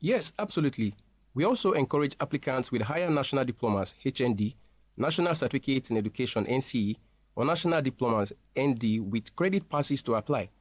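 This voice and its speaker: A man speaking as a representative in a scripted promotional interview, explaining things in a formal, measured way. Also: medium pitch, expressive, no echo. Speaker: university representative being interviewed.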